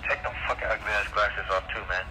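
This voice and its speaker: A man's voice: lowish voice, fairly even intonation, fast, rough recording. An American man posing as a bossy store manager, rude and swearing, heard over a walkie-talkie. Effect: walkie-talkie radio. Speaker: prank accomplice posing as a store boss.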